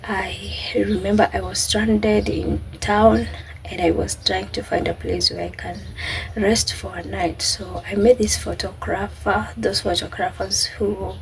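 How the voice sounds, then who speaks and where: Kenyan woman storyteller with a creaky voice. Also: medium pitch, a little hiss or hum, low-quality recording. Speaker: vlogger. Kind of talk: casual personal storytelling to camera.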